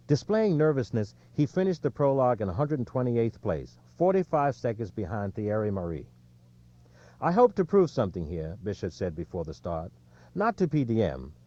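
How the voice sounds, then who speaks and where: A man reading a nonfiction sports audiobook in a measured storytelling way, with emphasis on the quotes. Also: mid-range voice, dark tone, muffled recording. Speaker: audiobook narrator. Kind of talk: scripted nonfiction narration of a sports book.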